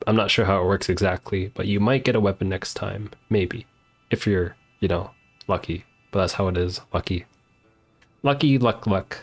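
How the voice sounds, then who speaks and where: Male game streamer, relaxed conversational chat with viewers, music faintly underneath. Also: low-pitched, moderate pace. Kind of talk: casual live-stream chat, reading game dialogue aloud.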